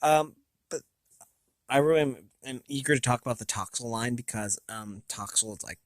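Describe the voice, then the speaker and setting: An American man hosting a casual, unscripted review, thinking aloud in a halting, rambling way with a slightly raspy voice. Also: mid-range voice, no room sound, clean studio sound. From United States. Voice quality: slightly raspy from long talking. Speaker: video host.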